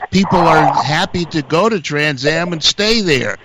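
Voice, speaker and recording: A man interviewing a driver, asking measured, halting questions. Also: on the high side, lively intonation, pushed and bright, a little hiss or hum, low-quality recording.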